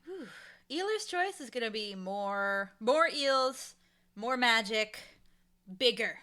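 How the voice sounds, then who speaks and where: Bright-voiced woman, chatty and enthusiastic. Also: fairly high pitch, animated, slow pace. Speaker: interview guest, audio drama showrunner and dialogue editor. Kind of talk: casual interview chat.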